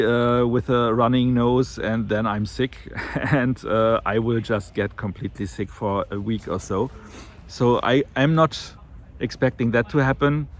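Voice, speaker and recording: A man talking casually to camera in a weight loss vlog, slightly nasal from an allergy and rambling with many fillers and self-corrections. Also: medium pitch. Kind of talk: casual monthly vlog talk.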